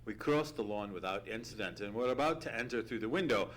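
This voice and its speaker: A man playing an earnest gentleman sidekick who narrates a mystery with theatrical, deliberate storytelling in an old-time radio play. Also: on the low side, lively intonation. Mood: alarmed. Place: theatre stage. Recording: humming, noisy live theatre recording.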